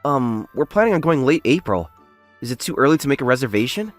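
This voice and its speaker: Man playing an earnest young worker, animated delivery. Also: mid-range voice, fast talker, faint music behind, lightly acted. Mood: polite, earnest. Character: modest, plain-spoken young male factory worker, story's narrator. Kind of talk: English manga dub acting and first-person narration.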